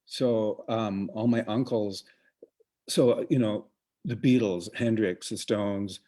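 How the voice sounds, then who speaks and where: A man who was raised in Uganda co-hosts a relaxed online arts program. His voice sits a little low, and he talks loosely, trailing off with plenty of fillers. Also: takes it slowly. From Congo, raised in Uganda. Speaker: co-host, museum programmer. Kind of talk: casual live-stream chat.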